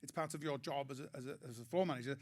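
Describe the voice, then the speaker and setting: A man's voice: mid-range voice, rapid-fire. A middle-aged Welsh man with a Welsh accent, a comedian speaking as a panel guest, self-deprecating and prone to restarting his sentences. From Wales. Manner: self-deprecating, repeats words when starting sentences. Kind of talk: panel discussion.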